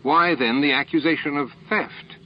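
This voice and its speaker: Man acting a smooth, condescending alien hive leader. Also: on the high side, normal speed, highs cut off, slight background noise, voice pushed up, close to an everyday voice. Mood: smoothly reasoning. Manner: smooth, formal, self-assured. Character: calm, condescending male alien hive leader, reasonable and baffled.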